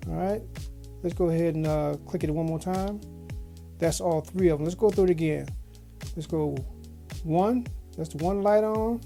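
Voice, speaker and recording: A woman's voice: on the low side, moderate pace, gravelly, pauses often, mellow, soft background music. A woman giving a casual product review as a video host, in a folksy, slangy way with repeated phrases. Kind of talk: casual unboxing review chat. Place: small home office studio.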